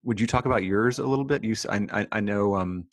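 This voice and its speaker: A man hosting a casual conversation, relaxed and curious as he asks questions. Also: on the low side, fast talker. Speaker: host.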